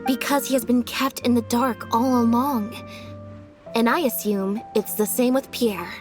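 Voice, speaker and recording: A woman playing a composed, sharply logical detective in a video game, explaining in a measured way. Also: fairly high pitch, fairly even intonation, natural voice. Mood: calm deduction. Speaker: detective character. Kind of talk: video game story voice acting. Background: faint music.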